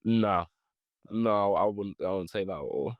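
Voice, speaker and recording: A man's voice: fairly low pitch, a little slow, dry recording, phone-quality sound. Hesitant young man explaining history. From Ethiopia and Eritrea. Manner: hesitant, many ums and restarts. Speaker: podcast guest. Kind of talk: casual explanatory chat.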